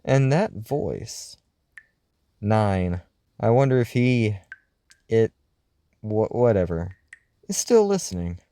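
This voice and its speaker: A man's voice: on the low side, very animated, takes it slowly, pauses often, mellow, no room sound. A man working as a host, reading listener mail in a scripted radio-show style with a theatrical, wry, musing delivery.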